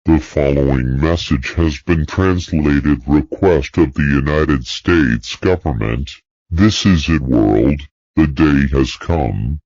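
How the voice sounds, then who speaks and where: Man, very deep voice, solemn synthetic announcer, scripted broadcast, dull dry recording. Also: takes it slowly, a little mellow, no room sound. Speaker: emergency alert announcer. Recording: dull lo-fi audio.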